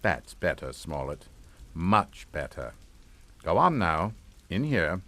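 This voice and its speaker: An actor playing a calm, menacing middle-aged father in a suspense radio drama, with clipped, authoritative delivery. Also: deep voice, slow, exaggerated voice. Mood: commanding. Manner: clipped, authoritative, matter-of-fact.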